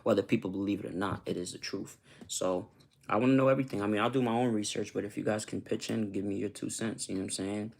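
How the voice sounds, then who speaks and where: A man's voice: lowish voice, steady tone, quick pace, creaky. A man from Costa Rica, a YouTuber, chatting loosely with his viewers and often asking "you know what I'm saying". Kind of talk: casual livestream chat with viewers.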